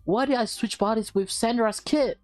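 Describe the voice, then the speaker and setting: A woman's voice: on the high side, moderate pace, darkish tone, voice pushed up, close to an everyday voice. A woman voicing a startled, suspicious woman from a visual novel, with alarmed, questioning delivery. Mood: shocked. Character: startled, suspicious adult woman in a swapped body. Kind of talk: visual novel read aloud in character. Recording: game music behind the voice.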